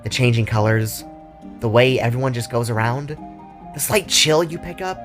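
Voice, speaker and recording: A man's voice: mid-range voice, normal speed, natural voice. A man voicing a teasing but caring young girl in a narrated story. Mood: gentle, hesitant. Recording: music behind the voice.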